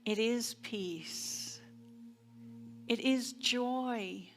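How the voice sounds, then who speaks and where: A woman minister giving a sermon and guided prayer in a deliberate, reverent manner with long pauses. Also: on the high side. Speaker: minister leading a service. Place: church service.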